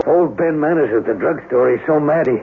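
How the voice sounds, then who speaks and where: An actor playing a plain-spoken, embittered rural mechanic with a halting, folksy way of talking and a gravelly voice, in a radio drama. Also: male voice, a little high, lightly acted. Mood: halting, sorrowful. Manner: folksy, halting, ungrammatical speech. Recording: narrow phone-like, faintly noisy radio broadcast.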